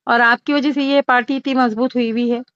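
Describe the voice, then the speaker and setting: A woman's voice: on the high side, fairly even intonation, brisk, a bit bright, dry recording. A woman from Maharashtra, India, a social worker asking questions in a phone conversation. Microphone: phone call. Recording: dull lo-fi phone call recording.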